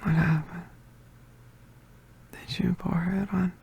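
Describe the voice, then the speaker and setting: A man playing a badly injured, weary young male witch in an ASMR roleplay, murmuring haltingly in a weak, pained voice. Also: high-pitched, steady tone, creaky, darkish tone, husky, exaggerated voice. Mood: relieved, drained. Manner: halting, hesitant, murmured, understated. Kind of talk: ASMR roleplay, soft intimate whispering.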